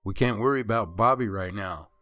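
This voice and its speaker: Man acting young male peacemaker, blunt, tense bright tone, slightly low pitch, horror drama. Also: brisk, no room sound. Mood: firm, pleading. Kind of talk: audio horror story character acting.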